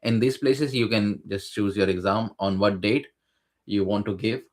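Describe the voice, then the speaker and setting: Man, lower register, hesitant conversational explainer. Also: moderate pace, a little mellow. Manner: hesitant, many fillers and restarts. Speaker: guest sharing exam experience.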